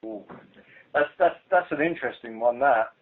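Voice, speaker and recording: A British man, a police officer, speaking hesitantly and informally on a work conference call over the telephone. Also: medium pitch. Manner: hesitant, halting. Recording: tinny phone conference line.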